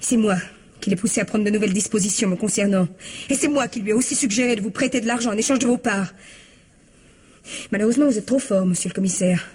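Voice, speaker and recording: An actress playing a bitter, vengeful wife accused of murder in a French radio crime drama, husky-voiced and defiant. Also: female voice, medium pitch, fast, darkish tone, close to an everyday voice. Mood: bitter confession. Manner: indignant, defiant. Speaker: actress in an audio mystery play.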